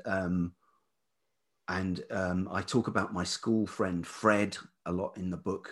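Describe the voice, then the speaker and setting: A man's voice: low-pitched, darkish tone. A middle-aged man with a velvety voice, telling stories reflectively and hesitantly as an interview guest, often repeating words as he searches for the next. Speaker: interview guest, writer and musician. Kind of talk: reflective conversational storytelling.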